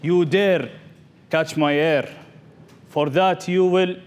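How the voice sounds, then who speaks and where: A man's voice: fairly high pitch, steady tone, takes it slowly, clear, resonant tone. A man voicing a majestic, indignant fish king in a pompous, declaiming manner for a live tall tale. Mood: outraged. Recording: noisy live event recording.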